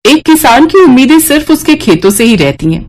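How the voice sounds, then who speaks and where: A woman's voice: sits high, very animated, brisk, a little mellow. A woman narrating a scripted moral story as a storyteller.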